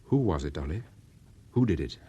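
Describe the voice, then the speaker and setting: A man playing a gentleman detective in a radio drama, courteous, calm and probing. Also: very deep voice, normal speed, breathy edge, highs cut off, exaggerated voice. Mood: gentle, reassuring.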